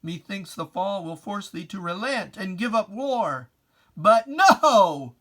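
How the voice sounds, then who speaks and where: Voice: medium pitch, lively intonation, slow, fairly bright tone. A person giving a dramatic reading of religious verse in a solemn, declamatory and emphatic manner with archaic diction. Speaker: poetry reciter.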